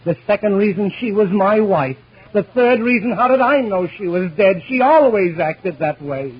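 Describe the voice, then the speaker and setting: A man's voice: high voice, animated, normal speed, husky, voice pushed up. A man voicing a brazen, indignant defendant who speaks bluntly and emphatically in an old comedy routine. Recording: muffled vintage 78rpm record.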